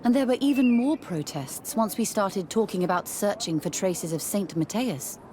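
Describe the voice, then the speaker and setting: A woman playing a busy, formal religious scholar in charge, brisk and composed, in a video game. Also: medium pitch, lively intonation, mellow, close to an everyday voice. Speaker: supervisor of theologians.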